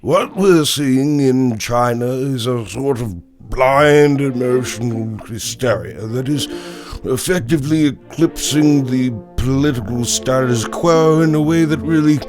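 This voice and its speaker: An actor playing an alarmed political pundit in an audio drama, giving wordy, emphatic news commentary. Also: male voice, mid-range voice, takes it slowly, music in the background, lightly acted.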